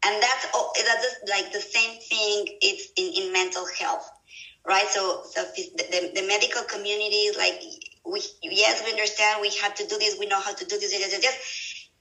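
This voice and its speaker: Gravelly woman, echoey room. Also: mid-range voice, steady tone, sharp tone. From Mexico. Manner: halting, restarts and repeats words often. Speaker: guest, mental health professional. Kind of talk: conversational interview answers. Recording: echoey room, mic at a distance.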